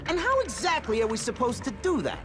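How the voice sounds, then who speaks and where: A man's voice: very high-pitched, huge pitch swings, a little fast, over music, heavily acted. A man voicing a brash, hot-headed teenage mutant turtle in a video game, sarcastic and combative. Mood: cocky, combative. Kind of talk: video game cartoon voice acting.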